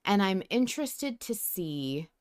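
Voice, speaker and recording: A woman's voice: mid-range voice, sing-song, measured pace, clear, resonant tone. A woman co-hosting a podcast in casual fan discussion, informal and sweary in how she talks. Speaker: podcast co-host.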